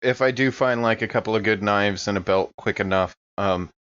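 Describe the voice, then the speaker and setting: Male tabletop player, fairly low voice, casual and tentative delivery, slightly muffled sound. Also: fairly quick, fairly bright tone, clean tone. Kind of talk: casual improvised tabletop game talk.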